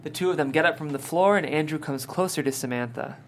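A woman's voice: sits low, fast talker, slight background noise. A woman reading scripted stage directions as narrator of a table read.

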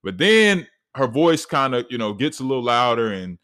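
A man in his thirties with a deep voice, hosting a solo podcast and talking earnestly, with many fillers and restarts. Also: sing-song, moderate pace, fairly bright tone. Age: 30s. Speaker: podcast host.

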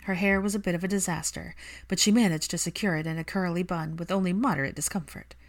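Female audiobook narrator for fan fiction, slightly low in pitch, scripted prose reading. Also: steady tone, fast.

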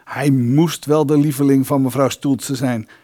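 A man playing a young musician who wryly recalls his lodging days in an audio drama, his voice husky. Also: mid-range voice, fairly even intonation, a little slow, dark tone, close to an everyday voice. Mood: wry, reminiscing. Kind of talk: Dutch audio drama narration.

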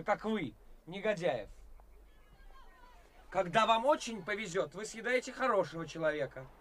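An actor playing an ambitious, theatrical young stage actor in a 1980s radio play. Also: male voice, high-pitched. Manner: theatrical, expressive.

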